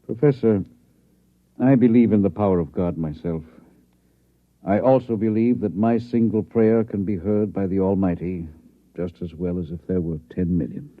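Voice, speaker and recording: An old, narrow-band radio recording carries a male actor as a head of state. His voice is very deep and deliberate, full of authority with small hesitations, in the manner of a 1950s science-fiction radio play. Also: natural voice. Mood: resolute. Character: weighty, decisive president of a future nation. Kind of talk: 1950s radio drama acting. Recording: thin band-limited vintage radio recording.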